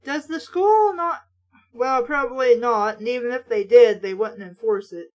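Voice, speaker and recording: A woman's voice: on the high side, moderate pace, darkish tone. A woman reading aloud and breaking off for blunt, exasperated, sweary, sarcastic commentary. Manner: casual, sweary. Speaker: host of a book-mocking reading show.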